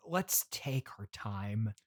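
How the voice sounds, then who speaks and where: A man's voice: lowish voice, sharp tone. Hesitant man hosting a casual comedy podcast. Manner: hesitant, halting, politely reluctant. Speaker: podcast host. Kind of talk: improvised comedy interview, casual chat.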